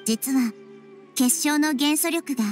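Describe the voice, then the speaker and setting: A woman voicing a small girl deity in an anime game, wise, gentle, composed and thoughtful. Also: sits high, slow pace. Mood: serious, thoughtful. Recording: faint music under voice.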